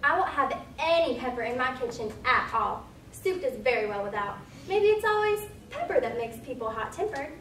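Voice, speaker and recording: Stage role: curious, well-mannered young girl acted by a woman, high and musing, distant mic with background noise. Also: expressive, normal speed, a bit bright. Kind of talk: readers theater performance. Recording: echoey room, distant mic, noisy.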